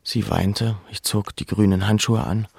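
A man playing a camp survivor who recalls his deportation in measured, sober, reflective first-person narration. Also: on the low side, steady tone, gravelly, darkish tone, slightly rough, no echo, heavily acted. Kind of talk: literary first-person narration of an audio drama.

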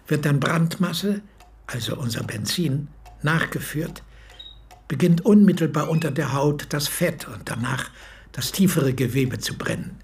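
A man's voice: fairly high pitch, gravelly. An actor playing an 86-year-old former army medic who recounts his memories as a solemn, precise eyewitness in a radio play. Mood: solemn, matter-of-fact. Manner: measured, precise, formal storytelling. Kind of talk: radio play monologue, reminiscence.

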